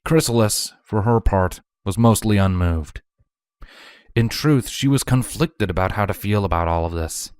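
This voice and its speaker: A man's voice: lowish voice, unhurried, a little mellow, clean tone, no echo. A man reading scripted fantasy fiction aloud as a storybook narrator. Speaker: narrator, fan fiction reader.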